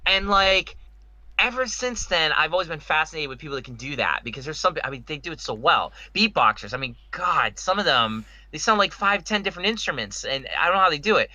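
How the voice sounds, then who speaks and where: Casting: male podcast co-host, higher-pitched and sharp, enthusiastic casual chat. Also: big pitch swings, a little fast, no echo, dull audio. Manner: enthusiastic, emphatic.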